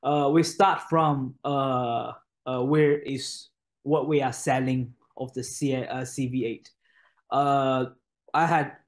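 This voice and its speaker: A man in his thirties, a native Mandarin speaker, presenting a product on a video call from his home study, with many fillers and restarts. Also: mid-range voice. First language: Mandarin Chinese. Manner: hesitant, many fillers and restarts, frequent "okay?". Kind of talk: online product webinar. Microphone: webcam on a video call.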